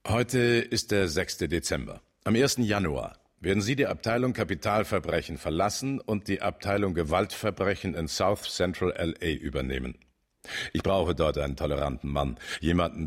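A shrewd older police chief commands in a very deep, husky voice. He is calculating and blunt, setting out his schemes with measured authority in radio drama style. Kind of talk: German radio drama acting.

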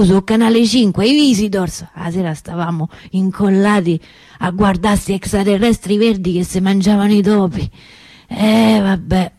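An actress playing a middle-aged working-class Roman souvenir seller in a theatrical monologue, rambling and confiding in a colloquial, indignant way. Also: female voice, mid-range voice, a little mellow, dry recording, natural voice. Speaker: stage and radio actress.

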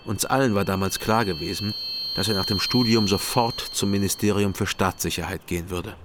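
An actor playing a male former detective who narrates his own story in a measured, dry way, in a radio drama. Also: sits low, fairly even intonation, background noise, lightly acted. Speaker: first-person narrator and detective. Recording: radio play over loud music score.

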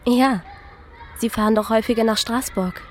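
A woman's voice: on the high side, moderate pace, a little hiss or hum, natural voice. An actress playing a direct, curious young woman in a German radio drama. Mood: forward, curious.

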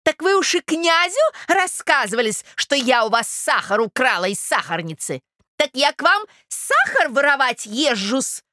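A woman voicing a touchy, quarrelsome provincial gentlewoman in a literary audiobook, screechy and affronted. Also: sits high, sing-song, normal speed, no room sound, studio quality, exaggerated voice. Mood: indignant. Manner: screechy, affronted, servile -s word endings.

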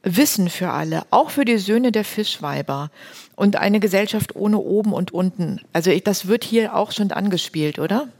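A woman's voice: mid-range voice, expressive, moderate pace, darkish tone. A woman moderating a literary discussion in a conversational way.

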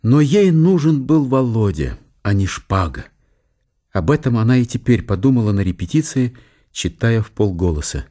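A man reading literary narration for a radio play in an expressive, theatrical storytelling manner. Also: medium pitch, normal speed. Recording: studio radio play with faint music.

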